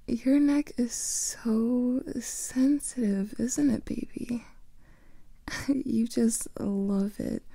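A young woman voicing a teasing female vampire lover in an ASMR roleplay, whispering softly close to the microphone. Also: a little high, fairly even intonation, extremely slow, no echo, full character voice. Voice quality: breathy whisper with vocal fry.

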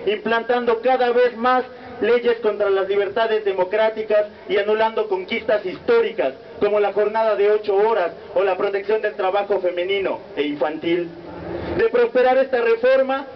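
A young man with a Mexican accent reading a solemn protest speech as a student speaker, in a measured, declamatory cadence. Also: high-pitched. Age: young adult. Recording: muffled lo-fi recording with faint noise.

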